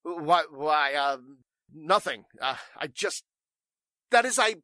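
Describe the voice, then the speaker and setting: A man's voice: a little high, lively intonation, slow pace, pushed and bright, no room sound, heavily acted. A man playing a flustered, evasive warehouse owner in 1940s radio drama style, stammering and speaking with stuffy formality. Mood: rattled.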